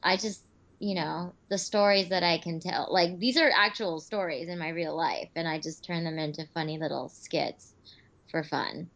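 A woman's voice: on the low side, fairly even intonation, moderate pace, fairly bright tone. Chatty woman on a lo-fi call. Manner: chatty, laughs easily. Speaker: actress guest. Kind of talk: casual podcast interview. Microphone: remote call. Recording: dull lo-fi call audio.

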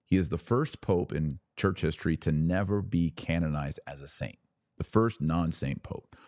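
Male religious commentator, low voice, dry close sound. Emphatic, punchy, repeats key phrases, challenges the listener directly. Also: moderate pace, a little mellow, no room sound. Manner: rhetorical questions to listeners, acting out imagined quotes. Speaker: podcast host and religious commentator. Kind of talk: impassioned religious commentary to a live audience.

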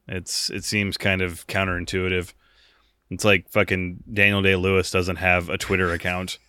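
A man's voice: deep voice, flat delivery, normal speed, pushed and bright, clear, resonant tone, no room sound, clean studio sound. A man co-hosting a podcast, chatting casually and jokingly, rambling with frequent laughs. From United States. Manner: rambling, jokey, laughs often. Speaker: podcast co-host.

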